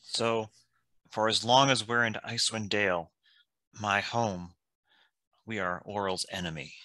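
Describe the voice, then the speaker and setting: Man as a resolute cartoon fantasy hero, lowish, bright forceful tone, earnest, firm, dry sound. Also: fairly even intonation, a little slow, no room sound. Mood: realizing, somber. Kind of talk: animated fantasy script table read.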